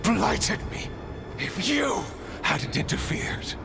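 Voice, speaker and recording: An actor voicing a defiant, embittered corrupt governor who is blighted and dying, in a theatrical video game performance full of bitter shouting. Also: male voice, high voice, sing-song, slow pace, gravelly, music in the background, exaggerated voice. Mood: bitter despair.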